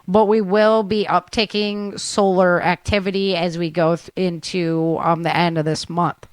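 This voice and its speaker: A woman's voice: on the low side, flat delivery, measured pace, dry recording. A woman hosting a talk show, running through news updates in a chatty, informal way. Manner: chatty, informal, addresses listeners as guys. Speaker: show host.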